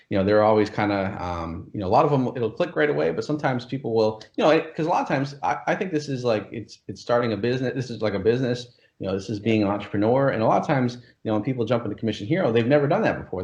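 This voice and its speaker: A man's voice: mid-range voice, darkish tone, echoey room, lo-fi sound. A man hosting an interview, talking enthusiastically and filling his speech with "like" and "you know". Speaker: course creator, interview host. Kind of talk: casual interview over a call.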